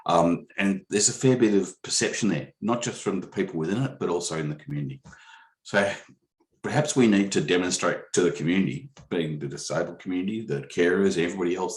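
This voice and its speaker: A very deep-voiced man answers off the cuff as a panellist, pausing and restarting often. His voice has a roomy ring to it, as if he is on a call from an echoey room. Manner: halting, many ums and restarts. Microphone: video call.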